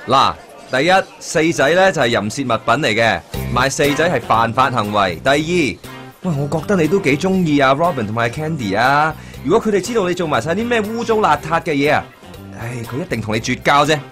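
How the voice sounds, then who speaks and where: A man's voice: mid-range voice, big pitch swings, brisk, music in the background. An actor playing an earnest young man who lectures his friend and now works managing platform crowds. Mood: lecturing. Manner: preachy, lecturing. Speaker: radio drama actor.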